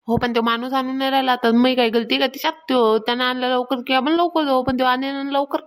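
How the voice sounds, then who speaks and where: A woman's voice: high voice, fairly even intonation, a little fast, natural voice. Woman voicing a stubborn, food-loving cartoon village woman. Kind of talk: Marathi comedy cartoon dialogue.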